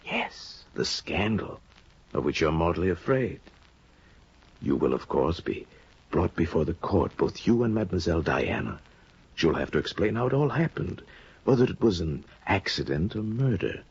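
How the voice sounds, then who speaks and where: An actor playing a sly, insinuating middle-aged judge in a 1950 radio drama, probing and quietly menacing. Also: male voice, sits low, very animated, moderate pace, gravelly, breathy edge, heavily acted. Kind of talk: 1950s radio drama acting. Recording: dull lo-fi 1950 radio broadcast.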